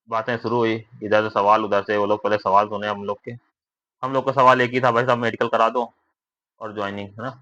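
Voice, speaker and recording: A man's voice: medium pitch, pushed and bright, no room sound. A young man giving viewers an informal spoken update, conversational and often checking for agreement with tag questions. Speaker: vlogger giving recruitment updates. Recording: dull lo-fi recording.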